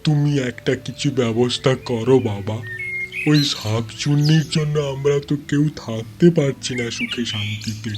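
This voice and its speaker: A man's voice: medium pitch, moderate pace, music in the background, lightly acted. Man as a worried, pleading cartoon villager. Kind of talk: cartoon dialogue.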